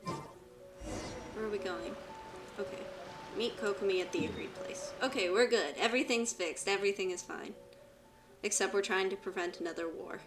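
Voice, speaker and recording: A woman's voice: medium pitch, moderate pace, long pauses. A woman streamer giving casual gameplay commentary, playfully muttering to herself. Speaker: game streamer, VTuber. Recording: distant mic under game music. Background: video game music.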